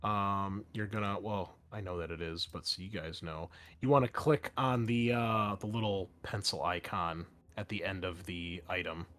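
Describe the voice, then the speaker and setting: A man's voice: low voice, moderate pace, hardly any pauses, fairly bright tone. A man working as game master, narrating a tabletop game and explaining its rules, with casual hesitant asides.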